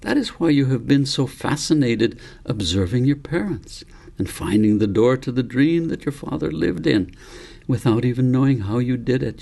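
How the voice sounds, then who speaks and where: A man voicing a wise, adamant male elephant mentor in a storybook, speaking in a firm, instructive, deliberate way. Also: mid-range voice, gravelly, dark tone. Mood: encouraging. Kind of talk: storybook character read by the author.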